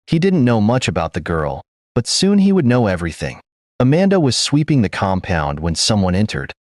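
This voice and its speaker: A man's voice: lowish voice, no room sound, clean studio sound. A man narrating a folk tale from a script, telling it expressively. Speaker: storyteller.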